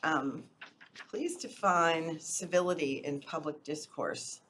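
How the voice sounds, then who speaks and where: A woman's voice: low-pitched, takes it slowly, fairly bright tone, a little hiss or hum. A woman moderating a local candidates debate, introducing the speakers in a friendly, informal way with some fillers.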